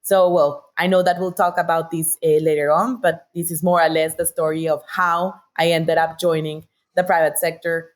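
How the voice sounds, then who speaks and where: A woman's voice: sits low, little pitch movement, moderate pace. A woman with a Colombian accent, a native Spanish speaker, telling her story articulately and enthusiastically as an interview guest. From Bogota, Colombia. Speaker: interview guest, sustainability director.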